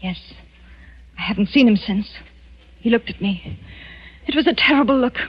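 An actress playing a frightened, tense wife in a 1940s radio suspense drama, speaking in a halting, dramatic way. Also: female voice, a little high, breathy edge. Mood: tense, grave. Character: frightened, tense wife of a murderous doctor in wartime France. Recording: dull old 1940s radio recording with music.

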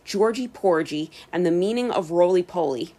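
A woman with an American accent hosting a podcast, reading scripted narration in careful, clear phrases. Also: fairly low pitch, expressive, normal speed, mellow. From United States. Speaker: podcast host and storyteller.

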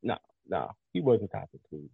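A man's voice: sits low, very animated, moderate pace, vocal fry, husky, dry recording, phone-quality sound. A congested man hosting a radio talk show, arguing insistently and often repeating himself. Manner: argumentative, insistent, repeats words. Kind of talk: casual debate chat.

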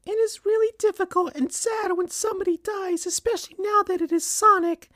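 A woman's voice: very high voice, sing-song, measured pace, a little mellow, no echo, studio quality, voice pushed up, exaggerated voice. A woman voicing a sad cartoon mother, solemn and earnest as she consoles youngsters.

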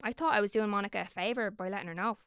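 A woman's voice: medium pitch, very fast, a bit bright, smooth, dry recording, clean studio sound. A young woman narrating an audio drama in a wry, conspiratorial, sweary way.

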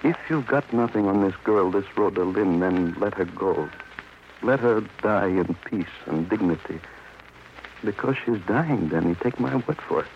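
An actor playing a kindly, wordy male doctor, wry and gently coaxing, in old radio drama style. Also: deep voice, normal speed. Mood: wry, earnest. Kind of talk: 1940s-50s radio drama acting. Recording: muffled, noisy old radio recording.

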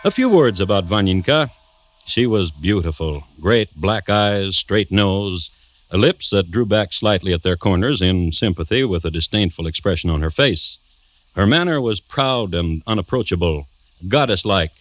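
A man narrating a scripted radio story in a wry, droll, deliberate way. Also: deep voice, lively intonation, no echo. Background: music.

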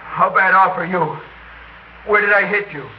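A man's voice: sits high, moderate pace, close to an everyday voice. A male actor playing a helpless, wounded American helicopter gunner in a radio drama, blunt and faltering. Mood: bitter, weary. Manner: blunt, faltering, breaking off phrases. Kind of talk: classic radio drama acting. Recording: dull, roomy, noisy old radio recording.

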